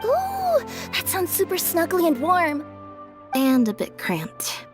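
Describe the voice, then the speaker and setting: A woman's voice: very high-pitched, wildly swooping pitch, slow pace, over loud music, exaggerated voice. A woman voicing a bubbly, easily flustered young courier girl in an anime video game. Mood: delighted. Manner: exclaiming, girlish.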